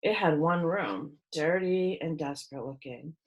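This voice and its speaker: A woman reading a children's book aloud as a storyteller, with expressive narration. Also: low-pitched, a little slow.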